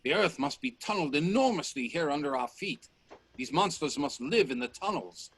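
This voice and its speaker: A man playing a bold, inquisitive gentleman explorer in a radio play, speaking in deliberate, theatrical statements. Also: a little high, expressive, slightly rough, dry recording. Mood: grim wonder. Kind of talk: radio play dialogue acting. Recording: faint noise on video-call audio.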